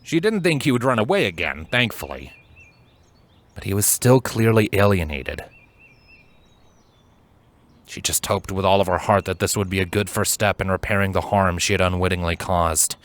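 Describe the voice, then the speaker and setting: A man reading a story aloud as narrator, in an expressive, measured, dramatic storytelling style. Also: sits low. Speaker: fan fiction narrator. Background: background music.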